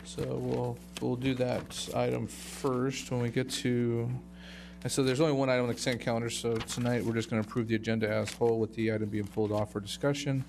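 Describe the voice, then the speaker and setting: Brisk middle-aged American man, noisy hum. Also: mid-range voice, level tone, vocal fry. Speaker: mayor chairing a council meeting. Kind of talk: formal meeting procedure, partly read aloud. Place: council chamber. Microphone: dais microphone. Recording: loud hum and noise.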